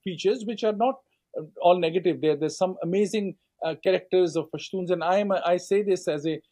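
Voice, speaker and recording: A middle-aged man with a Pakistani accent answers at length in a measured, lecturing way, pausing on fillers and restarting phrases. His voice sits high for a man, with a mellow, dark tone. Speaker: author and scholar guest.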